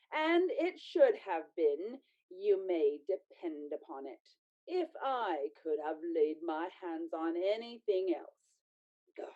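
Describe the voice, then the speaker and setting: A shameless, scornful charwoman for a radio play. She speaks slowly and defiantly, with big swings in pitch. The recording is slightly dull. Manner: theatrical, defiant, emphatic. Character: brazen, scornful working-class charwoman, thieving and shameless. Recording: muffled, dull audio.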